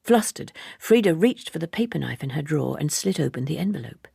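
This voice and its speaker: A woman narrates a literary novel in a low register. Her voice is heavily creaky and husky, and she tells the story in a measured, expressive way, recorded very dry and close. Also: no room sound. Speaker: audiobook narrator.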